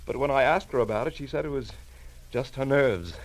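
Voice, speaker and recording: A man's voice: mid-range voice, fairly quick, lightly acted. A man playing an anxious, polite bridegroom, hesitant and stammering, in a 1940s radio drama. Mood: worried. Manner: fretful, hesitant, stammering, formal. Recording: noisy vintage radio recording.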